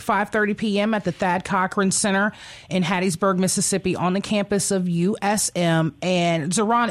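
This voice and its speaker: Female radio host, medium register, chatty interviewing style, full of enthusiastic affirmation. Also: medium pitch. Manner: effusive, affirming.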